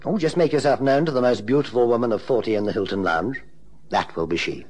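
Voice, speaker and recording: An actor playing a courteous British private investigator in a radio drama, with an urbane, measured and polite manner. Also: male voice, medium pitch, a little mellow, breathy edge, close to an everyday voice. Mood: wry. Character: suave, courteous male private investigator and agency boss. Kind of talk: radio drama dialogue and narration.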